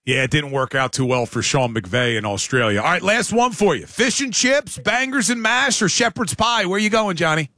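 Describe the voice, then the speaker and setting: Bright, high-voiced male radio host. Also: sing-song, dry recording, clean studio sound. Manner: upbeat, quick, joking banter. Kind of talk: casual radio chat. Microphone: studio microphone.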